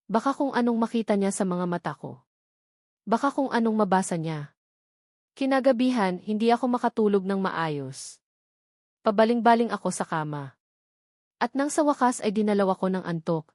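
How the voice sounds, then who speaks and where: A woman narrates a first-person romantic drama from a script, dramatically, in short halting phrases. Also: medium pitch, darkish tone, no echo, clean studio sound. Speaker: story narrator.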